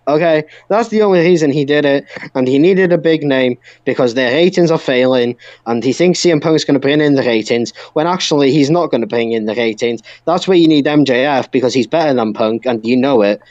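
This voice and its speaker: A man's voice: mid-range voice, fairly quick, few pauses, darkish tone, no echo, highs cut off. A man co-hosting a wrestling podcast, talking in an emphatic, opinionated, ranting way. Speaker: podcast co-host.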